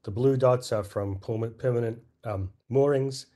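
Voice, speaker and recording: A middle-aged man presenting at a scientific conference, hoarse and clearing his throat, with many fillers and restarts. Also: mid-range voice, moderate pace, warm tone.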